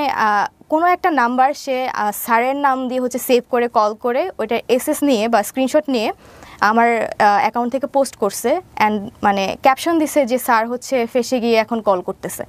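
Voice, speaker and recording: A woman's voice: a little high, no echo. A young woman with a Bangladeshi accent, giving a personal account as an interview guest and mixing in English words. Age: young adult. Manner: mixes English words into her speech.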